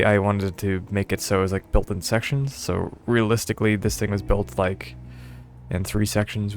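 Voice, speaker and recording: Gravelly, rambling young male streamer. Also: lowish voice. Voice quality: slightly stuffy. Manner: rambling, hesitant, frequent laughs and fillers. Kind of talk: casual gameplay commentary.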